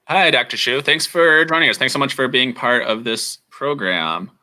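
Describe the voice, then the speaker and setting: A man's voice: medium pitch, normal speed, bright, forceful tone. A man working as a studio coordinator, interviewing a guest in a casual, upbeat way with many fillers.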